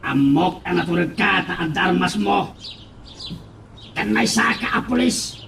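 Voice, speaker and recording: A man's voice: high-pitched, animated, unhurried, vocal fry, fairly bright tone, exaggerated voice. A man with an Ilocano accent playing a menacing, taunting henchman boss in a radio drama. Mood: threatening, taunting. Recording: roomy, distant, faint background noise.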